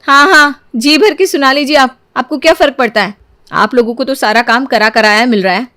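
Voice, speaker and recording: An exasperated young Indian daughter-in-law from a cartoon family drama, put on by a woman. Her voice is on the high side and very dry. She complains dramatically, whiny and indignant. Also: fairly quick, bright, forceful tone, no room sound. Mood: aggrieved.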